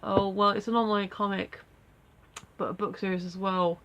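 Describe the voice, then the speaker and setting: A woman's voice: mid-range voice, level tone, normal speed, a bit bright, highs cut off. Snuffly woman with a head cold. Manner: rambling, self-deprecating, many fillers. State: stuffy nose from a cold. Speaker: vlogger. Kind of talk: casual daily video diary.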